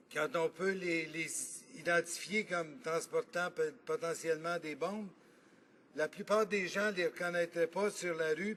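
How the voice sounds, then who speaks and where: A man with a Quebec French accent, a member of parliament giving an earnest, argumentative debate speech in a legislative chamber and pausing often to think. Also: high voice, fairly bright tone, a little hiss or hum. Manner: earnest, argumentative, thinking aloud with frequent pauses.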